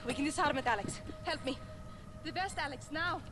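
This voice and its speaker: High-voiced urgent actress as a female operative. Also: fairly quick, heavy background noise, lightly acted. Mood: urgent, focused. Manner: urgent, precise instructions. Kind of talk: cinematic military shooter game acting. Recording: game audio under loud music.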